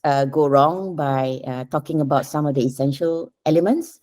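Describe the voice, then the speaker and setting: A woman with a Malaysian accent answers interview questions in a casual, explanatory way. Her voice is quite low and very soft-edged and dark. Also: moderate pace. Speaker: writer, podcast guest.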